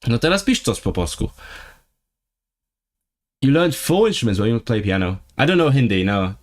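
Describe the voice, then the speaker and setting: A man's voice: mid-range voice, sing-song, normal speed. A man who is not a native English speaker, hosting a casual livestream and chatting with his viewers as he reads and answers the live chat. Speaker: livestream host.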